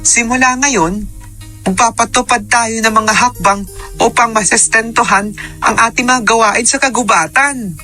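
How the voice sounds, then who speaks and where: A woman's voice: a little high, a little slow, bright, forceful tone. A woman playing an earnest, resolute village leader in a formally acted radio drama. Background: music.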